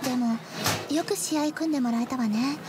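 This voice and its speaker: Loud noise runs behind a very high, girlish voice. A woman plays a polite, ladylike high school girl in a radio drama, phrasing things in a soft feminine way. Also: a little fast, lightly acted. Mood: wondering.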